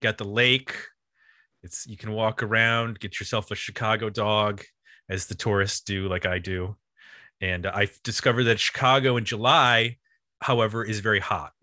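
A man's voice: on the low side, level tone, moderate pace, pushed and bright, dry recording. A man with a nasal Buffalo, New York accent hosting a casual music podcast in a joking, chatty, digressive way. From US East Coast. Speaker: podcast host.

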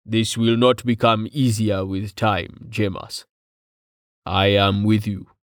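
Man voicing a grave, rumbling fantasy companion. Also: fairly even intonation, takes it slowly, no room sound, studio quality. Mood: solemn, loyal. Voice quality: low, rumbling. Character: stony, protective, low-voiced male fantasy companion.

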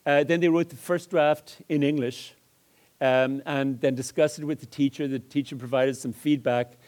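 Measured male lecturer. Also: mid-range voice, steady tone, a little mellow. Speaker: lecturer on multilingual education. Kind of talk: public lecture with examples.

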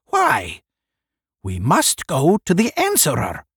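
Man voicing an excitable, high-pitched alien creature. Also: slow pace, slightly rough, no room sound, studio quality, heavily acted. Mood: urgent. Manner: urgent, declamatory shouting. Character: excitable cosmic creature crying urgent questions to its swarm. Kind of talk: science fiction audiobook.

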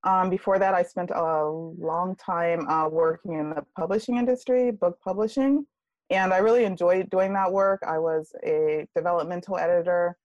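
A woman guest on a podcast interview talking about her career in a relaxed way, with many fillers. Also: fairly low pitch, normal speed, no echo, highs cut off. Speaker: guest, UX writer. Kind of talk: casual interview.

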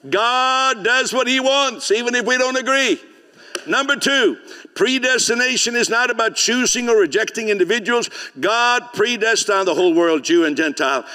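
A man preaching in English, his second language, with a voice that sits somewhat high. He swings between loud, rising declarations and quiet, conversational asides, often repeating words as he gets going. A long reverb from a large hall trails every phrase. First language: not English. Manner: preaching with sudden loud rises and soft, chatty asides. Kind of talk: sermon.

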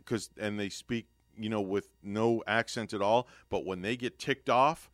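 A man hosting a morning radio show, originally from New York, who teases his co-hosts, laughs often and reads the sponsor lines briskly. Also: on the low side, steady tone, fairly bright tone, no room sound, studio quality. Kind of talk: joking morning radio banter.

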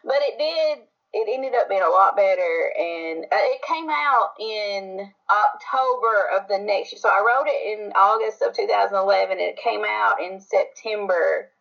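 A woman's voice: medium pitch, moderate pace, creaky, hardly any pauses. A woman being interviewed as an author, telling her story in a rambling, laughing, casual way. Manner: rambling, laughs often, fillers like "like". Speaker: author guest. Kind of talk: casual podcast chat. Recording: slightly dull lo-fi audio.

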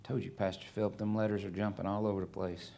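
Middle-aged Southern US preacher, slightly low voice. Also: male voice, little pitch movement, creaky, warm tone. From Alabama, USA. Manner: conversational, halting, many fillers and restarts. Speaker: guest preacher. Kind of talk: sermon with scripture reading.

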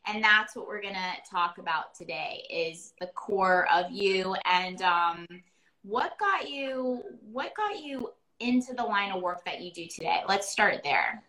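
A woman's voice: medium pitch, expressive, measured pace, pushed and bright, rough recording. A woman hosting a podcast interview, talking in an effusive, rambling, casual way. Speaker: podcast host.

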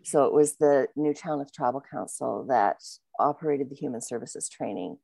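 A woman with a very low, gravelly voice recalls her past in a reflective, measured way. Her speech is dry and close, with no room sound. She tells her stories at an unhurried pace. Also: dark tone, no echo. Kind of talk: casual conversation.

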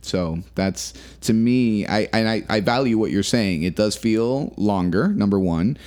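A man co-hosting a casual podcast, talking earnestly and haltingly with many restarts. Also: on the low side, expressive, a little mellow. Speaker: podcast co-host. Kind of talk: casual chat.

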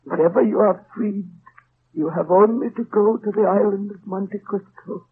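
Old dying priest, high creaky voice, slow n halting, solemn old radio drama. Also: male voice, exaggerated voice. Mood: tender, weakening. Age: older. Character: frail old dying priest, tender fellow prisoner. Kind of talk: 1930s-40s radio drama acting. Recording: muffled, noisy old radio recording.

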